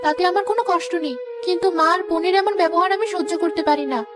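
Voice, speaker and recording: A woman's voice: extremely high pitch, racing, over music. Woman voicing a meek young stepdaughter. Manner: soft, pleading, submissive. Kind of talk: cartoon dubbing.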